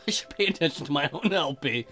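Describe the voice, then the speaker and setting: Joking man with a bright, lively voice. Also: fairly high pitch. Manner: joking, crude, sing-song asides. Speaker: let's player. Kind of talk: casual game commentary, reading visual novel text aloud. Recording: music behind. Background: game music.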